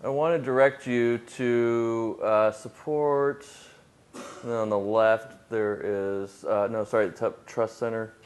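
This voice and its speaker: A man with a fairly low voice answers audience questions on a conference panel. He talks loosely and informally, filling pauses with "uh", and readily admits what he doesn't know. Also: steady tone. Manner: casual, self-deprecating, frequent fillers. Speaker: product manager presenting on a panel.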